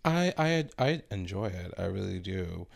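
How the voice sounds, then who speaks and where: Gravelly low-voiced man, lively. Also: a little slow. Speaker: guest. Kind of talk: casual chat.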